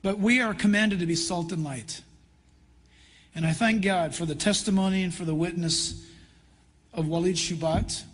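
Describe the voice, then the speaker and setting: Casting: American man as a conference pastor, raspy, earnest and conversational, speaking in a long-reverb church hall. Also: sits high, steady tone, normal speed, pauses often. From California, United States. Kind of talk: conference address in sermon style. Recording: reverberant large hall, live PA sound.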